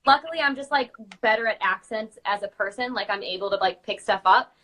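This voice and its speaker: A woman's voice: medium pitch, fairly quick, bright, forceful tone, poor audio. A woman co-hosting a casual chat in an informal, agreeable, giggly way. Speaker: children's party princess performer, co-host.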